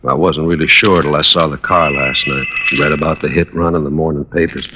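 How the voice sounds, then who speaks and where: An actor in a 1950s radio drama playing a weary, heartbroken husband who speaks in a halting, resigned, wistful way. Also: male voice, a bass voice, steady tone. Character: weary, heartbroken husband confessing to covering for his firebug wife. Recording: dull, noisy old radio recording.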